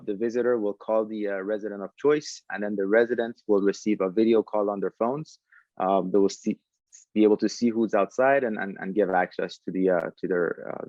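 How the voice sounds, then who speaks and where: A man giving conversational answers as a podcast guest, speaking in a halting way with frequent pauses. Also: fairly low pitch, darkish tone, dry recording, dull audio. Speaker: podcast guest, tech entrepreneur.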